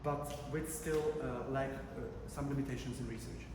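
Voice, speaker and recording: A man's voice: mid-range voice, steady tone, normal speed. A man from the giving an academic conference talk, conversational, with many fillers and restarts. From Czech Republic. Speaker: conference presenter, PhD researcher. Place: large conference room. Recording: echoey hall from far off, room noise.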